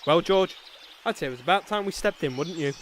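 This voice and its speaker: A man's voice: fairly high pitch, animated, brisk, very noisy, lightly acted. A man playing a pompous, blustering knight in a comedy sketch, grandiose and indignant in his delivery. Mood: heroic, urgent. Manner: grandiose, indignant, mock-heroic. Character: pompous, blustering gentleman knight, foolhardy and self-important. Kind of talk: theatrical comedy sketch acting.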